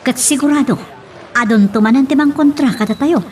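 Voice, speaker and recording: A woman's voice: sits high, fairly quick, darkish tone, a little hiss or hum, lightly acted. A woman playing the inquisitive grown daughter of landowners in an audio drama. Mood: curious. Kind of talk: Ilocano audio drama acting.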